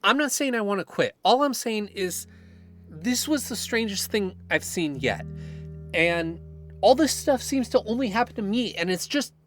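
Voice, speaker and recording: An actor playing a shaken, suspicious man in an audio drama, speaking haltingly and with exasperation. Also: sits high, lively intonation, music in the background. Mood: uneasy, weary. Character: Greg, shaken, suspicious man unnerved by strange events.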